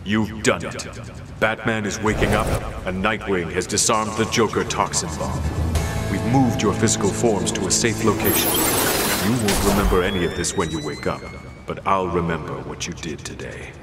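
An actor playing a calm, solemn telepathic alien hero in a video game. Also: male voice, low-pitched, normal speed, close to an everyday voice. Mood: solemn, reassuring. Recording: echoing effect under loud music.

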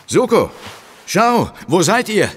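Curt ghost-hunting inspector, radio play: commanding, animated, ringing hall reverb. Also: male voice, sits high, takes it slowly, slightly rough, exaggerated voice. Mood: worried. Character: determined, impatient male police inspector ghost hunter. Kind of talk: horror audio drama acting.

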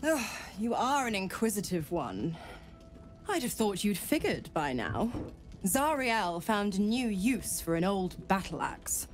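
A woman's voice: mid-range voice, very animated, unhurried, soft background music. A woman voicing a sly, mocking female devil in a video game, with a silky, theatrical, teasing drawl. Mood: condescending. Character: sly, mocking, seductive female devil, half-fiend servant. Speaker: game character. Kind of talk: video game cutscene acting.

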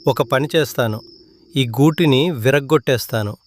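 A man's voice: medium pitch, normal speed, lightly acted. A man voicing a ruthless predatory eagle, a threatening villain in a children's cartoon. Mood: menacing, frustrated.

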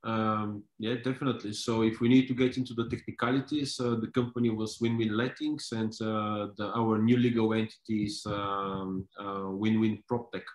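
Low, flat-voiced man on a video call. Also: moderate pace, few pauses, echoey room. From Bulgaria. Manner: hesitant, many fillers and restarts. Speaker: company founder and CEO. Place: home room indoors.

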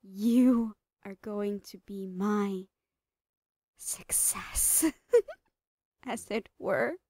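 Obsessive psycho female doctor, horror audio roleplay. Soft dark tone, unhurried, doting, drifting into whispers. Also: medium pitch, steady tone, dry recording, studio quality. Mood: gleefully obsessed. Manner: breathy giggling, cooing, slipping into whispers.

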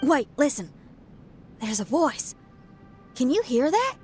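A woman's voice: high voice, big pitch swings, slow, a little mellow, soft background music, heavily acted. A woman playing a spirited, easygoing young rock musician in an anime game, talking in a casual, teasing way. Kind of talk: anime video game voice acting.

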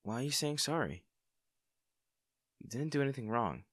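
A man's voice: mid-range voice, expressive, normal speed, fairly bright tone, dry recording, studio quality. A man playing a friendly, caring young barista in an intimate audio roleplay, talking in a casual, hesitant and attentive way. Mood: concerned, gentle. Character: friendly, caring young male barista, teasing and easily flustered.

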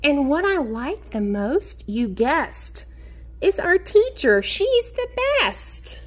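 A woman teacher reading a picture book aloud to young children in an expressive sing-song way, encouraging them and asking them questions. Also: sits high. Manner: expressive, sing-song, drawn-out key words; encouraging, addresses children directly.

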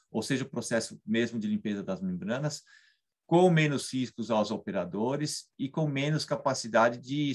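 A man's voice: mid-range voice, fairly bright tone. A man in his thirties with a Brazilian Portuguese accent, explaining a technical topic over slides in a webcam lecture, often adding tag questions. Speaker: engineering guest lecturer. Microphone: webcam on video call.

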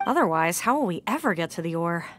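A woman playing a dutiful, concerned young female knight on patrol in a video game, speaking briskly. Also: fairly low pitch, expressive, a bit bright, a little hiss or hum. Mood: matter-of-fact.

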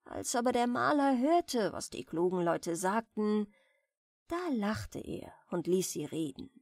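Female bedtime-story narrator, soft and expressive, with lively melody and a very dry sound. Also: medium pitch, a little slow, no echo. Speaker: audiobook narrator. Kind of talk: gentle bedtime fairy-tale reading.

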